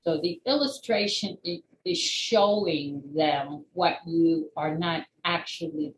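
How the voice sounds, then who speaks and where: Slow-paced woman in an echoey room. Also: lowish voice. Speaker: children's book author, interview guest. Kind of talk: explanatory interview talk. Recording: roomy echo, faint background noise.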